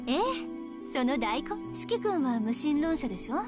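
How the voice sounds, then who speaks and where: A self-styled heroic exorcist teacher in a game, high and very animated. She talks quickly in a teasing, breezy way, with loud music under her. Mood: breezy, smug. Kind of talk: Japanese visual novel voice acting.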